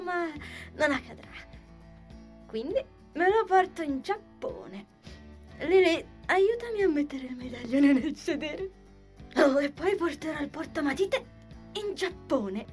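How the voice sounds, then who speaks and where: A woman playing an overwrought, absurdly dramatic young woman in a sitcom dub, loud and melodramatic. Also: high-pitched, animated, measured pace, faint music behind.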